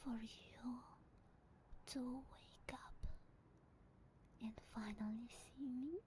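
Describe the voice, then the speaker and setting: A woman voicing an obsessed, possessive female sleep paralysis demon in an ASMR roleplay, in a breathy, teasing, intimate whisper. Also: on the high side, steady tone, drawn-out words, dark tone, a little hiss or hum. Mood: obsessive, intimate.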